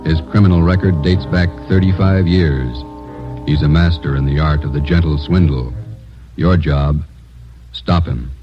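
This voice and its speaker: A man's voice: very deep voice, steady tone, a little slow. A man narrating the opening of a 1950s radio drama in a solemn, terse, declarative style. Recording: dull old radio broadcast, music behind. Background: music.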